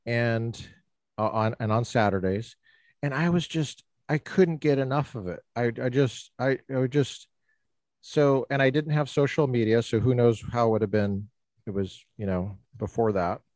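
A man hosting a podcast interview, talking in an animated, rambling way with playful teasing. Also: medium pitch, normal speed, dry recording. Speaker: podcast host. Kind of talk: casual interview chat.

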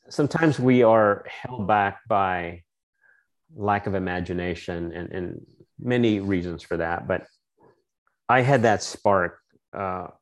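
Low-voiced man recalling his past. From Philippines. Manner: reflective, storytelling, many restarts and fillers. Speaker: guest. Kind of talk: casual interview conversation.

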